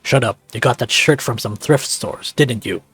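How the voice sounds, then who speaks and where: A man's voice: medium pitch, normal speed, fairly bright tone, slightly rough, dry recording, soft background music. A man voicing a brooding, hostile, muscular male furry lab worker in a visual novel, curt and menacing.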